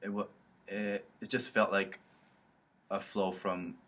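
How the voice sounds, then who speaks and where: A young man from South Korea, an international student, speaking in an interview with many pauses and restarts. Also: fairly low pitch, level tone, fairly bright tone. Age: young adult. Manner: hesitant, many ums and restarts. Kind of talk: interview testimony.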